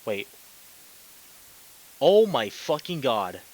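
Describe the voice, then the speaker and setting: A man reading a visual novel aloud as expressive narration, breaking off into casual, sweary, slangy reactions. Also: mid-range voice, drawn-out words, rough recording. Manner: animated, sweary, slangy interjections.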